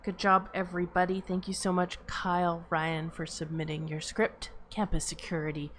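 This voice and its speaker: Female host, slightly low voice, casual moderating, faint noise behind. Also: little pitch movement, normal speed. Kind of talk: casual online discussion.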